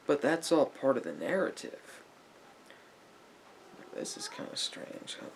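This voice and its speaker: A man playing a patient, probing therapist in a soft-spoken ASMR roleplay, with halting, deliberate phrasing and a gravelly voice that drops toward breathy near-whispers. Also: on the high side, huge pitch swings, slight background noise, full character voice, poor audio. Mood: probing, puzzled. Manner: halting, deliberate phrasing with pauses and reflective murmurs. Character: patient, probing male therapist gently counselling a client.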